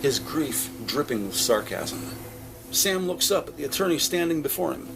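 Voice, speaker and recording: A man's voice: fairly high pitch, sing-song, moderate pace, vocal fry, music in the background, rough recording. A man narrating a scripted audio drama in the present tense.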